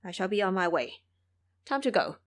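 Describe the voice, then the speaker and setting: A woman's voice: mid-range voice, very animated, moderate pace, natural voice. Woman acting a preachy, pious monk master. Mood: dejected. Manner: preachy, admonishing. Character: pious, scolding male Buddhist monk master, morally earnest.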